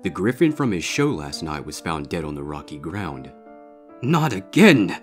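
Deep-voiced man narrating a story. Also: sing-song, moderate pace, soft background music. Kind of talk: dramatic scripted fan fiction narration.

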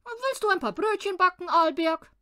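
A woman's voice: very high voice, lively intonation, fairly quick, sharp tone, pitched above the performer's own voice, heavily acted. A woman voicing a brash, boastful, bossy and mischievous little man in a storybook, with cocky exclamations. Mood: coaxing.

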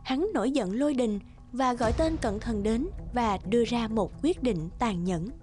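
A woman's voice: on the high side, normal speed, a little mellow. A woman narrator reading scripted fairy tales in a storytelling style. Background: music.

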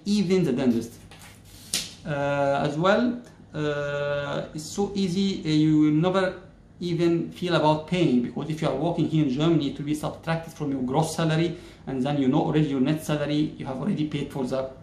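A man talks straight to the camera, slow and measured, with frequent "uh" pauses. His voice sits a little high for a man. The plain room around him rings with echo, and a faint hum sits underneath. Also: a little mellow. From Egypt. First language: Arabic. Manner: measured, many "uh" pauses, gestures with hands. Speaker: YouTuber. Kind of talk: casual explainer talking to camera. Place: plain-walled indoor room.

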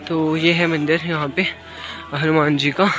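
A man's voice: a little high, little pitch movement, unhurried, dull audio. A man, casually narrating a walking travel vlog into his phone. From Himachal Pradesh, India. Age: 20s. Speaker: vlogger. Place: outdoors on hill walkways, some indoors. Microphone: phone at arm's length. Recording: music laid loudly over speech. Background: loud music.

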